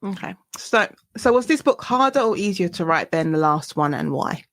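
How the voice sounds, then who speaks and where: A woman hosts a relaxed podcast interview and asks questions in a chatty way. Her voice rises and falls with a lively, animated pitch. She laughs easily between questions. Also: normal speed. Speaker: podcast host. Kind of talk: casual interview chat.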